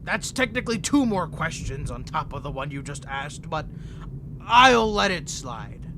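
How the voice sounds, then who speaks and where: Crazy ancient vampire prisoner, flirty n taunting, swoopy sharp voice, audio roleplay. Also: male voice, fairly high pitch, big pitch swings, a little slow, slight background noise, full character voice. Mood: manic, smug. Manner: taunting, flirtatious, theatrical, with mock-sweet endearments. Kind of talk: scripted character roleplay audio.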